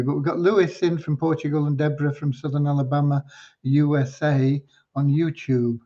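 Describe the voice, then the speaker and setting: A man from Cornwall hosting an informal livestream, talking in an unhurried, earnest, slightly preachy way. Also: fairly high pitch, dark tone. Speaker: livestream host and community leader. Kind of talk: informal livestream updates with devotional talk. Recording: dull lo-fi livestream audio.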